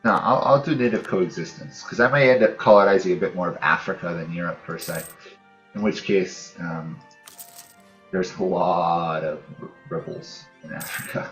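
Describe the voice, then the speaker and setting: A man with a low voice gives casual gaming commentary, musing aloud as he goes. The recording is dull and roomy, with game music playing behind him. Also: moderate pace, a bit bright. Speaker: host of a gaming channel playing a strategy game. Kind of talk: casual let's-play commentary.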